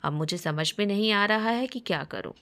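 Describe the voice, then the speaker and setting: A woman's voice: mid-range voice, lively intonation, normal speed, fairly bright tone. A woman voicing a caring middle-aged father, respectful and a little unsure, in a family story. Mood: respectful, considerate.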